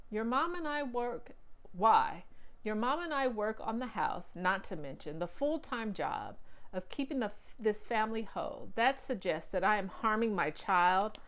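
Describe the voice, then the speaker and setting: A woman reading the lines of a tired, angry father who works two jobs, loud and ranting. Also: mid-range voice. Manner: ranting, then soft and trembling.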